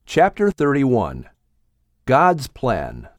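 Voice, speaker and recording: A man narrating an audiobook in a measured, expressive storytelling manner. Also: mid-range voice, no echo, studio quality. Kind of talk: scripted narration.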